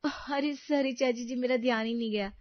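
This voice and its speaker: A woman playing a gentle, anxious and dutiful young daughter-in-law in a family story, speaking politely and earnestly. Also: on the high side, steady tone, normal speed, no echo, muffled recording, lightly acted. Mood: apologetic. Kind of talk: acted dialogue in a Hindi family story.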